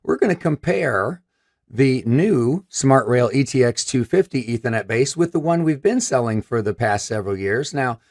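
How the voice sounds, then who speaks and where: A man in his fifties from Michigan with a scratchy voice, hosting a technical live stream in an upbeat, chatty way. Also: mid-range voice. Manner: upbeat, chatty, gestures while talking. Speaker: host. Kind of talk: live stream product presentation. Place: room with a brick wall backdrop.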